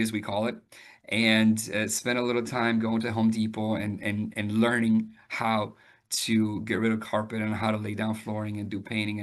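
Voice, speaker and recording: A man in his forties, born and raised in Albania, telling his story in a relaxed interview with lots of fillers and restarts. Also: on the low side. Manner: talks with frequent fillers and repeated starts, laughs now and then. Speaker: realtor guest.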